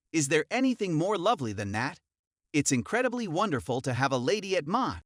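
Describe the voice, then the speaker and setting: A man reading an online forum story aloud in the first person, in an even storytelling manner. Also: fairly high pitch, dry recording, studio quality. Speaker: narrator.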